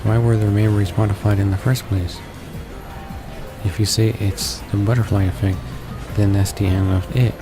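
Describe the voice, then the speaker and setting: A man playing a visual novel and reading its text aloud in a halting way, stumbling and repeating words. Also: on the low side, flat delivery. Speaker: let's player. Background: game background music.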